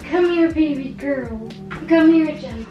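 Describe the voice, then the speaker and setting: A woman's voice: high-pitched, takes it slowly, highs cut off. Gushing woman in her twenties. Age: 20s. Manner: gushing, excitable, with frequent giggles. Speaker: beauty vlogger. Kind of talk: casual chatty haul vlog. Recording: echoey room with background music.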